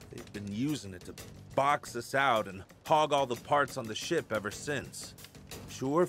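Flirty male junker, bright forceful voice, swooping pitch, slangy, easily flustered, video game cutscene. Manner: hesitant, slangy, swings from sly to flustered. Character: excitable flirtatious male junker info dealer in gas mask.

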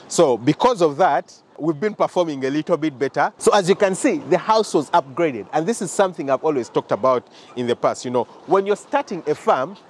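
A man's voice: fairly high pitch, a little mellow. A young man with a Ugandan accent gives a walking tour of his poultry farm outdoors, explaining things to viewers and repeating key points. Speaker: farm owner giving a tour. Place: outdoors at a poultry farm and inside a chicken house. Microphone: handheld camera close to the face.

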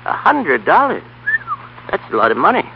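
Creaky, folksy old grandfather, 1930s radio. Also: on the high side, huge pitch swings, moderate pace, dull audio, slight background noise, heavily acted. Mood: mild, apologetic. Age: older. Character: kindly, plain-spoken old grandfather who speaks his mind.

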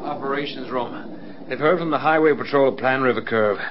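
An actor playing a dutiful, earnest air force control officer in a mid-century radio drama. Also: male voice, mid-range voice, a little fast, lightly acted. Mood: sober reporting. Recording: muffled, hissy vintage radio recording.

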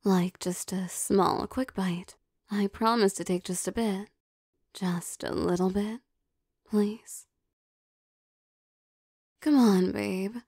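A young vampire girlfriend, acted by a woman in an intimate audio roleplay. She speaks slowly with a gravelly fry at the edges, teasing and possessive. The sound is dry and close, with soft, coaxing phrasing and hesitant pauses. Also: medium pitch, steady tone, no room sound.

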